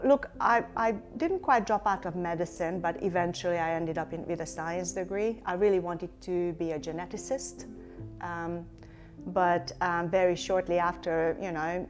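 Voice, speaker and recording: A middle-aged woman from Hungary speaks with a slightly low voice. She answers interview questions thoughtfully, pausing on fillers and restarting her sentences. Faint music plays underneath. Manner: reflective, many fillers and restarts. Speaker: company CEO, guest.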